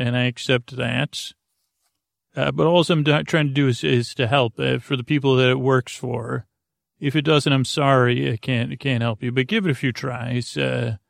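Man, sleep podcast host, creaky voice, mumbly and rambling, dry close sound. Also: medium pitch, no room sound. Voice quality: creaky, mumbly, lots of filler words. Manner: slow, meandering, soothing and self-deprecating. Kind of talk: rambling bedtime podcast talk.

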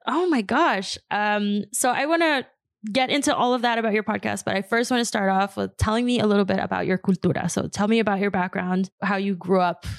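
A woman's voice: mid-range voice, brisk, gravelly, no echo, clean studio sound. A young woman from Queens, New York, hosting a podcast interview in an enthusiastic, chatty way that is full of "like". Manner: chatty and enthusiastic, heavy use of "like". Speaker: podcast host. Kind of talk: casual interview chat.

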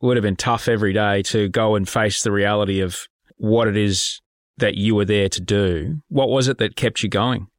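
A man's voice: fairly low pitch, fairly even intonation, normal speed, dry recording, clean studio sound. A man hosting an interview, asking questions in an appreciative way. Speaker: podcast host. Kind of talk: interview conversation.

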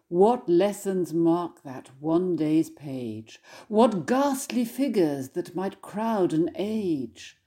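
Scholarly woman reading poetry and commentary, lowish mellow voice, articulate.

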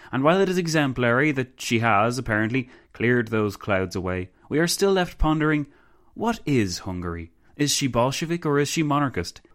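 A male narrator plays a self-satisfied Romanian statesman in a historical audiobook. He orates in a flowery, pompous way, with his pitch lifted above the narrating voice. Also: lightly acted. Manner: pompous, oratorical.

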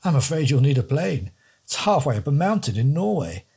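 A man's voice: on the high side, sing-song, a little fast, gravelly, dark tone, slightly rough, pitched above the performer's own voice, full character voice. A man voicing a wordy, pompous older English don with a fussy manner.

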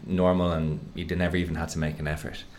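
A man's voice: sits low, little pitch movement, quick pace, a little mellow. A man hosting a casual podcast interview, asking curious, easygoing questions with many fillers. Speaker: podcast host.

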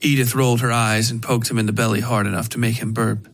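A man reading dark fiction as a scripted narration, in a measured way with dramatic pauses. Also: mid-range voice, little pitch movement, vocal fry, slight background noise. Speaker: narrator of a horror fiction podcast.

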